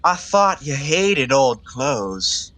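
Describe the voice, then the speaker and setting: A man's voice: medium pitch, sing-song, extremely slow, sharp tone, heavily acted. A man acting an earnest, naive young suitor in a 1920s stage comedy, courteous and genial. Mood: smitten.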